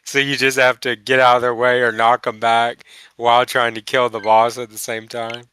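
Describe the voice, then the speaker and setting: A man hosting a casual live stream over voice chat, his voice hoarse and congested from a cold, chatty and explaining things at length. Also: medium pitch, level tone, moderate pace, pushed and bright, dry recording, low-quality recording. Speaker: host playing the game. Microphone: headset over voice chat.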